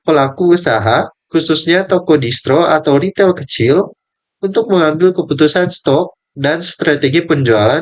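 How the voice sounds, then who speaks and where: A young male student presenting his part of a group lesson, reading out steps and table values with small slips and restarts. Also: on the high side. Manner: reads numbers in steady lists, with small slips and restarts.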